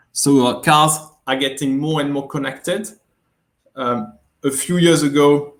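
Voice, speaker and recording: A man from France with a French accent, a guest executive speaking in an online interview, measured and hesitant, with many fillers. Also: mid-range voice. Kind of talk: webinar interview.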